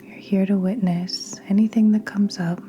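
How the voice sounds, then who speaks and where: A woman guiding a meditation in a soft, breathy near-whisper, gentle and soothing, with long unhurried pauses. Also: medium pitch, fairly even intonation. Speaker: podcast host and meditation guide. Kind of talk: guided meditation narration. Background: soft meditation music with singing bowls.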